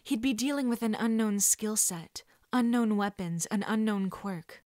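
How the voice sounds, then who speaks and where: A woman reading a story aloud as scripted dramatic narration, expressive and at times dropping to hushed tones. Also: medium pitch, measured pace, no room sound, studio quality. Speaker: fan fiction audiobook reader.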